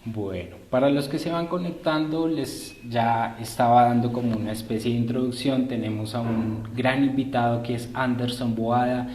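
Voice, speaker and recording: A man's voice: medium pitch, fairly even intonation, moderate pace, hardly any pauses, darkish tone. A man hosting a live stream, politely greeting and informing viewers in a hesitant, improvised way. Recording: roomy echo.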